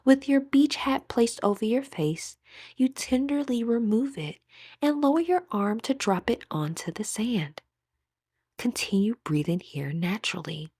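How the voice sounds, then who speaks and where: Lady doing guided meditation, high soft soothing voice, deliberate, super dry close mic. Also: very animated, slightly rough, no room sound. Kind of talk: scripted guided meditation narration.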